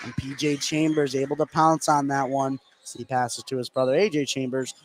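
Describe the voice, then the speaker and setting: A man's voice: medium pitch, flat delivery, moderate pace, a little hiss or hum. A man calling live radio basketball play-by-play in a running narration that follows the action. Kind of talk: live radio sports commentary.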